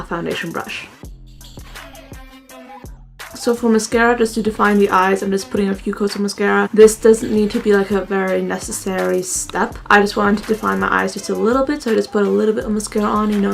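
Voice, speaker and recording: Young woman with a gravelly fry. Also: mid-range voice. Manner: chatty and rambling, many fillers. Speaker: makeup vlogger. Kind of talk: casual makeup tutorial chat.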